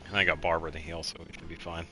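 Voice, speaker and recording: A man's voice: sits low, level tone, racing, pushed and bright. A man giving casual video game commentary, thinking aloud in a rambling way as he plays. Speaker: streamer playing a video game. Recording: game music faintly behind.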